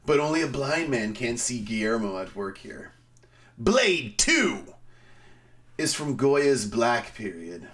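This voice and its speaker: Man in a theatrical, wildly animated delivery. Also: mid-range voice. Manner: theatrical, emphatic, swooping delivery with pauses. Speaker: streamer. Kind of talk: dramatic reading of a film review.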